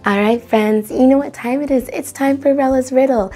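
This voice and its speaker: A woman's voice: high-pitched, normal speed, dark tone, faint music behind. A young woman presenting a children's read-along, encouraging and exclamatory, talking directly to her young audience. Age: young adult. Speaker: children's story time host.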